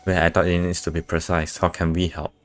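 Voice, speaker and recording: A man's voice: deep voice, little pitch movement, fast talker, soft background music. A man giving casual live playthrough commentary as a game streamer, with offhand, laconic reactions.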